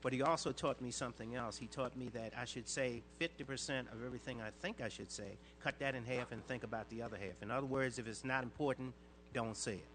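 An older man with a fairly high, light voice answers questions about his years on air. He talks in quick bursts, leans on his inflections and restarts phrases often. A faint hum sits under the recording. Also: fairly bright tone. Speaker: radio disc jockey guest. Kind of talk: interview answers, reminiscing.

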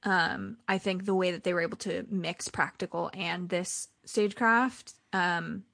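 Low-voiced woman in dry casual chat. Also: unhurried, gravelly, fairly bright tone, no echo. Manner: enthusiastic, frequent ums.

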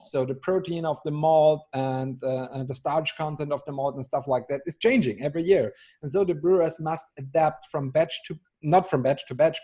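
Austrian man with a bright voice. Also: on the high side, breathy edge. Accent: Austrian German. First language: German. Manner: hesitant, many fillers and restarts, dry humor. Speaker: brewery ambassador, guest. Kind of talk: casual interview over video call. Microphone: video call.

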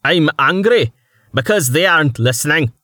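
A male narrator voicing a belligerent, loud-mouthed man who shouts and mocks the others. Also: medium pitch, measured pace, no room sound, clean studio sound. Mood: angry. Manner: shouting, mocking.

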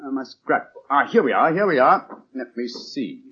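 Gentleman detective, British accent, theatrical, eloquent, very animated, slightly raspy, muffled vintage radio. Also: medium pitch, moderate pace. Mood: brisk, musing. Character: brisk, theatrical, self-assured gentleman detective. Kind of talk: 1940s radio acting. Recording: muffled old radio recording.

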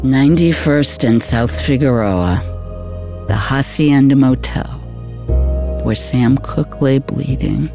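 An actor playing an older, world-weary retired homicide detective, brooding through a noir radio theater monologue. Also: male voice, mid-range voice, over music. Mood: grim, wry. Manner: brooding, deliberate noir storytelling.

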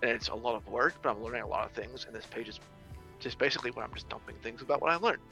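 A man's voice: mid-range voice, rapid-fire, gravelly, bright, forceful tone, faint music behind. A young adult man in casual conversation, rambling through ideas with many restarts and fillers. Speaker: social media creator on biblical masculinity.

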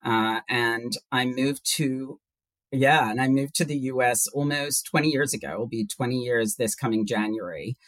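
A man's voice: mid-range voice, normal speed, a bit bright, no room sound. A man in his forties from Hong Kong with a mixed British-leaning international accent, a human resources executive answering interview questions thoughtfully, with many fillers. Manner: thoughtful, hesitant with many fillers, laughs easily. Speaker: HR executive guest.

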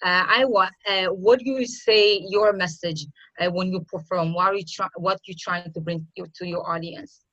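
A woman's voice: on the low side, fairly even intonation, moderate pace, few pauses, fairly bright tone. Enthusiastic woman interviewer, muffled sound. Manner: enthusiastic, searching for words. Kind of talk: interview questions.

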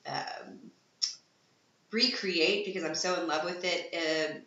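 A woman from Texas, a burlesque performer, chatting as an interview guest with enthusiastic bursts of excitement. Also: lowish voice, slow. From Texas, USA. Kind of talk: casual interview chat. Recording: echoey room, dull lo-fi.

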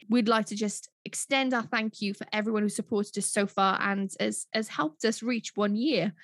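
A woman's voice: fairly high pitch, no room sound. A Welsh woman co-hosting a podcast, chatting enthusiastically and gushing about the topic, often ending with tag questions. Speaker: podcast co-host. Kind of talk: casual chat.

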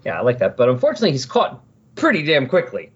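A man with a mid-range, somewhat husky voice hosts a casual comic book podcast. He retells stories conversationally and animatedly, slipping into quoted lines as he goes. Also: mid-range voice, wildly swooping pitch, quick pace, muffled recording. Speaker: podcast host.

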